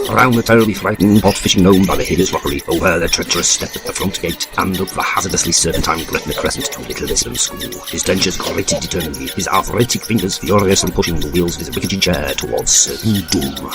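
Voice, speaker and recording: A man playing a florid, mock-epic narrator in a comic audio drama. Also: low voice. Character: grandiose, melodramatic male storyteller narrator. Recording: loud music under the voice.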